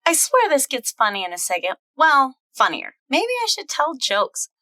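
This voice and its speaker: A woman's voice: high-pitched, sing-song, moderate pace, bright, forceful tone, no room sound, studio quality, heavily acted. A woman playing a wry, candid young comic-book heroine, narrating in a confiding, self-deprecating way. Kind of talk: dramatic reading of comic excerpts.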